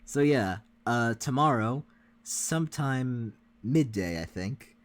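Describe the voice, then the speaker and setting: A man's voice: medium pitch, expressive, slow. A man co-hosting a reaction livestream, chatting casually with the viewers. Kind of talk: casual chat with stream viewers.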